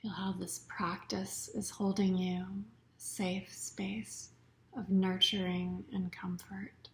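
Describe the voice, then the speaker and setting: A woman teaching a guided yoga nidra meditation in a soothing, unhurried way, her phrases often trailing off into soft breath. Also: medium pitch, flat delivery.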